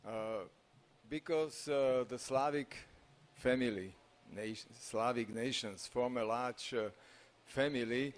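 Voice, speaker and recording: A middle-aged man with a Slovak accent giving a conference talk, halting and full of pauses, at a stage microphone. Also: mid-range voice. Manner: halting, many filler pauses, non-native phrasing. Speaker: conference speaker. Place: large conference hall on stage.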